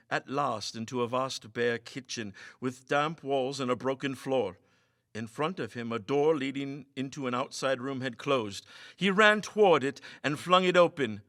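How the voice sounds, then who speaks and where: A man's voice: fairly high pitch, fairly bright tone, dry recording, clean studio sound. A man reading a ghost story as dramatic, suspenseful scripted narration, in a theatrical manner. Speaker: story reader.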